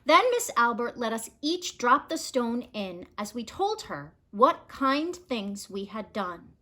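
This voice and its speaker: A woman narrating a children's picture book as a read-aloud, in an expressive, measured storytelling manner. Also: on the high side.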